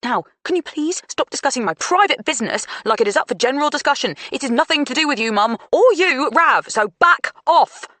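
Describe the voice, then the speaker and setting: Woman doing a loud, defiant young woman. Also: sits high, lively intonation, fairly quick, few pauses, no echo, voice pushed up. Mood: indignant. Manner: loud, insistent and exasperated.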